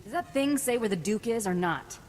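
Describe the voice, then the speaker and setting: An actress playing a tough, cocky, cold-blooded bounty hunter in a video game, with a blunt, terse, swaggering delivery. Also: female voice, fairly high pitch, expressive, brisk, a little mellow, close to an everyday voice. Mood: determined. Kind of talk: scripted video game acting. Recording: reverberant hall, faint noise.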